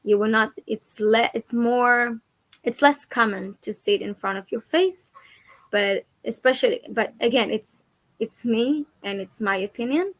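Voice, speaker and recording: Brief: young woman guest, earnest, slightly high voice, dry sound, from Israel. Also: unhurried, dry recording. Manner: earnest, searching for words. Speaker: guest, activist and educator. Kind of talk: conversational interview.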